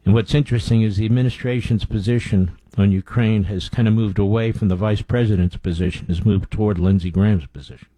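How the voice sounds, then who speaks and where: A man's voice: on the low side, fairly even intonation, fast talker, hardly any pauses, mellow, dry recording, poor audio. A man hosting a talk radio show, giving blunt, scornful commentary with frequent fillers.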